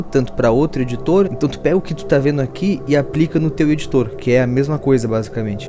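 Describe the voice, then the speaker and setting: A man with a Brazilian Portuguese accent giving a casual tutorial voice-over, explaining informally and repeating phrases for emphasis. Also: mid-range voice. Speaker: video tutorial creator.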